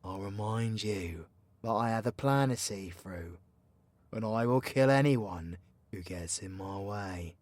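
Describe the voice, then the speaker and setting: A man's voice: lowish voice, slow pace, dry recording. A British man playing a cocky, foul-mouthed, vengeful supervillain magician in an audio roleplay, with slangy, theatrical, teasing banter. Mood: bitter, threatening. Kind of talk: ASMR-style audio roleplay, addressing an unheard companion.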